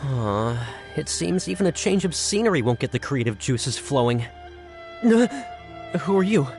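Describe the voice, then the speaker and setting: A man's voice: medium pitch, very animated, moderate pace, darkish tone. A man playing a scatterbrained, self-deprecating writer in a video game, with a hesitant, exclamatory delivery. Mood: discouraged. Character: scatterbrained, dramatic writer out of ideas. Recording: music behind the voice.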